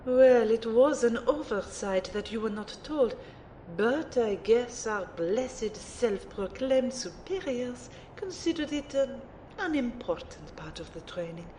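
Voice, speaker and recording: Lady doing a mage teacher, snooty but fond, slow n drawn out, kinda high, audio drama. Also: lively intonation, mellow, slight background noise, rough recording. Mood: gentle, knowing. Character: haughty, fond French female rune mage mentor. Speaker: voice actor in an amateur audio drama. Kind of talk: acted dialogue in an audio drama.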